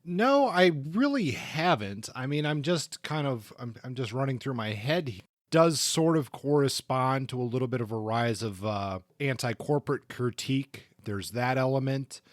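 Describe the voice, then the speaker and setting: Guy chatting about novels on a podcast, thoughtful, kinda halting, mid-pitched, really dry sound. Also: animated, dry recording. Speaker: podcast co-host reviewing crime novels. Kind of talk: casual book discussion.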